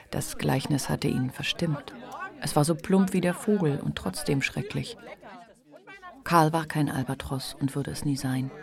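An actor playing a male literary narrator in a radio drama, reading scripted prose in a measured, contemplative way. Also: fairly high pitch, vocal fry. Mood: reflective. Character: reflective literary narrator of a novel. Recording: music bed behind narration.

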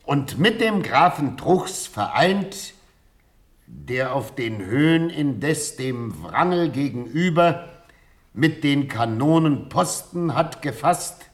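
Slow, emphatic field marshal. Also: male voice, a little high, expressive. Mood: formal, emphatic. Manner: measured, emphatic dictation. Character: formal, methodical field marshal dictating orders. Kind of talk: classical German radio play acting.

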